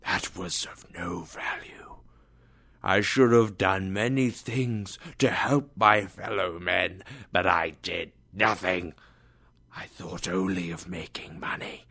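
A mournful ghost of a dead old businessman, dragging his chains and speaking in a hushed, breathy whisper, as a storyteller puts him on. The voice sits low and drawn out, grave and full of regret. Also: fairly even intonation, heavily acted. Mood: regretful. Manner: slow, grave.

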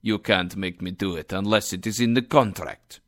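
A man voicing a greedy, bitter older moneylender, a little lower than his own voice. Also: normal speed, a bit bright. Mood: grudging.

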